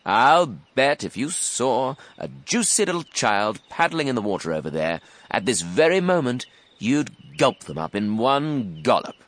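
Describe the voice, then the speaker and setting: A man's voice: mid-range voice, big pitch swings, a little slow, a bit bright, voice pushed up. Enormous greedy crocodile for a storybook , performed by a man: sly braggart, gloating, widely swooping delivery. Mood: mocking, goading. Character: huge greedy boastful scheming male crocodile. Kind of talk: children's storybook character reading.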